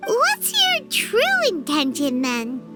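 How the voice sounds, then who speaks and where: A tiny, excitable fairy girl from a video game. The voice is extremely high and squeaky, swinging wildly in pitch, blunt and childlike, over prominent music. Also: slow pace, exaggerated voice. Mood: skeptical. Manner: speaks of herself in third person, exclamatory. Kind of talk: game cutscene dub acting. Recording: music behind the voice.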